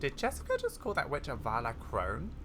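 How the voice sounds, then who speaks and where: Woman reading as an urgent, animated young rider. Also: rumbling low, a little fast, heavily acted. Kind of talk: reading video game dialogue aloud. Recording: home recording with faint noise.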